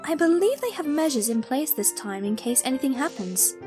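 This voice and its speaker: A woman voicing a gentle, patient pony caretaker, reassuring and nurturing, in a cartoon audio drama. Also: sits high, sing-song, fairly quick. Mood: reassuring, careful. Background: music.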